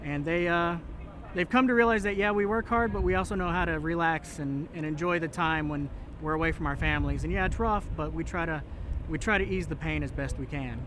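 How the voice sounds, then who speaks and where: A man with a somewhat high-pitched voice speaks as a military training instructor. He explains things in an interview style. Clear outdoor traffic-like noise runs behind him. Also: fairly quick. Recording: outdoor noise, vehicle hum.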